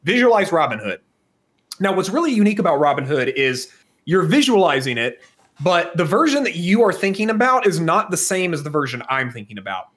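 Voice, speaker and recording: A man with a fairly high voice co-hosts a podcast. He speaks casually and likes to explain, building his points step by step and seeking agreement along the way. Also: very animated, fairly quick, a bit bright. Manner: explanatory, punctuates points with "right?". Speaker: podcast co-host. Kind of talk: casual chat.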